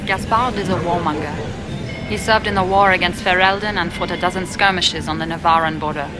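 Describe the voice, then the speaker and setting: A woman's voice: fairly low pitch, steady tone, brisk, lightly acted. Guarded, proud elven woman ambassador played by an actress, music behind. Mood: cool, dismissive. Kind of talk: video game character dialogue.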